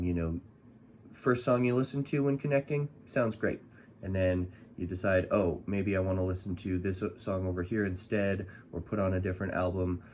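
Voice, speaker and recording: A man with a fairly low voice speaks very slowly over faint background noise. He is reviewing a gadget casually and without a script, pausing often and rambling as he goes. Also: a little mellow. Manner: halting, rambling delivery with long pauses. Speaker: product reviewer.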